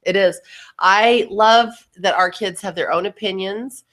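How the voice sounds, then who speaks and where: A middle-aged woman co-hosting a podcast, chatting informally and emphatically, her voice lowered by allergies. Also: a little slow, fairly bright tone. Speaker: podcast co-host. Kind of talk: casual chat.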